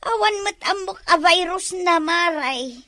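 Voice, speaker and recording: A woman acting in a comic village drama, with an excitable, exclamatory way of talking. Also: very high-pitched, measured pace, no echo. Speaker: comedy drama actor.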